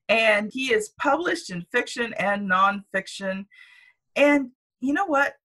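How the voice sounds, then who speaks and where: A woman from Canada hosting a podcast, speaking slowly and deliberately with a bright, forward tone. She introduces her guest with open enthusiasm. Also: medium pitch, low-quality recording. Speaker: podcast host. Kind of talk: interview hosting.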